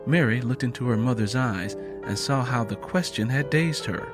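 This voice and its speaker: A male audiobook narrator reads scripted dramatic fiction. His voice has a medium pitch, and music plays behind it. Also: normal speed.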